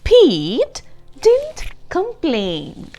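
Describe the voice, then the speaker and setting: A woman reading a picture book aloud for young children in an expressive, deliberate way, stressing key words and adding explanatory asides and questions. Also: sits high. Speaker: children's storybook reader.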